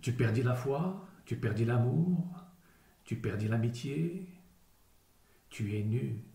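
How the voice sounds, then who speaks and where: Guy reading a poem really slowly, solemn and measured, mellow voice in an echoey room. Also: medium pitch, animated, creaky. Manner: measured, declamatory phrasing with deliberate pauses. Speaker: poetry reader. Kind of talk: solemn literary poetry recitation. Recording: roomy echo around the voice.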